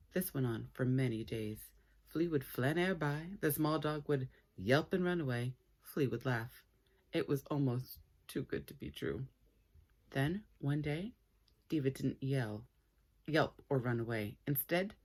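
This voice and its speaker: A woman reading a story aloud for children in an expressive, playful way with dramatic pauses. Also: very deep voice.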